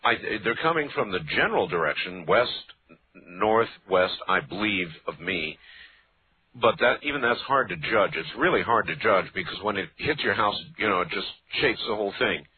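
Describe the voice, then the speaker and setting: A man in his fifties hosting a radio talk show, speaking casually with halting restarts and repeated words. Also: on the low side, expressive, husky. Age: 50s. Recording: tinny broadcast phone-band audio.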